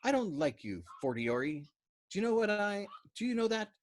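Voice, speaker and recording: A man's voice: sits high, very animated, normal speed, no echo, voice pushed up. A man voicing a scornful, belligerent army psychiatrist major in an audiobook, pompous and accusing as he browbeats. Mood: vitriolic. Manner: emphatic, contradicting, browbeating.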